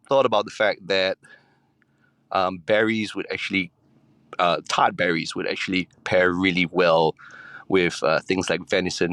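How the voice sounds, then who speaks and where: A man from Singapore, a chef guesting on a podcast, answering in a relaxed, hesitant way with many ums and restarts. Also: sits low, dry recording.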